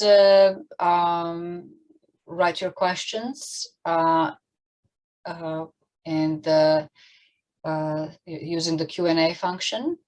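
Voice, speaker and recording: A woman moderating an online question and answer session on a video call, speaking in a hesitant, halting way. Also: on the low side. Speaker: panel moderator. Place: online webinar.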